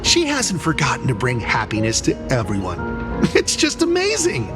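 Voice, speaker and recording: A man's voice: medium pitch, wildly swooping pitch, moderate pace, music in the background. A man voicing an over-cheerful, gushing townsman in a video game, with effusive salesman patter. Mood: gushing, upbeat. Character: gushing, over-cheerful male street vendor townsman devoted to his idol. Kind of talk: animated video game voice acting.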